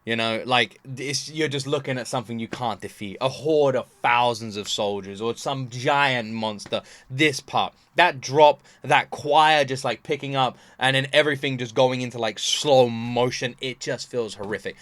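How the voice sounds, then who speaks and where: A man's voice: medium pitch, normal speed, hardly any pauses, a bit bright, no room sound. A man hosting a casual reaction video, rambling expressively and repeating himself for emphasis. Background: game soundtrack.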